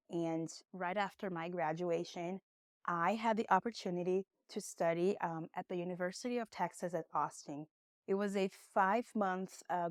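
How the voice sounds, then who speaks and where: A young woman, a Portuguese speaker, giving a conversational online English lesson with encouraging, expressive emphasis. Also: on the low side, dry recording, clean studio sound. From Brazil. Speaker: English teacher.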